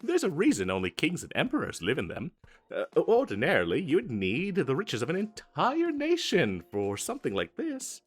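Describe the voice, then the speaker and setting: A man's voice: a little high, wildly swooping pitch, moderate pace, husky, soft background music, heavily acted. A man playing an eager, pushy wizard salesman in a comedic fantasy roleplay, chatty and stammering through his sales patter. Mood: coaxing, nervous.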